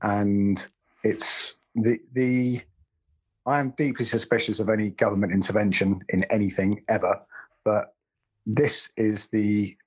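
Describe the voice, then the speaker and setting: A man's voice: lowish voice, flat delivery, a little slow, breathy edge. A man co-hosting a podcast, rambling in casual chat with wry asides and self-corrections. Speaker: podcast co-host. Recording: dull lo-fi sound.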